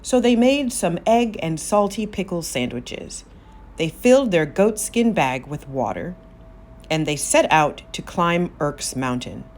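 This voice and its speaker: A woman narrating a children's story aloud, expressive, with drawn-out emphasis on key words. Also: lowish voice. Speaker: storybook reader.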